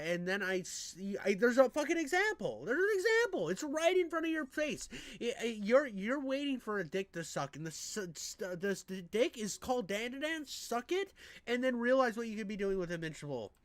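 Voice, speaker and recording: A man with a high-pitched nasal voice, reacting to a video in crude, excitable casual commentary, often stammering and restarting. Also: dry recording. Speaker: reaction streamer. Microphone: on camera, desk mic.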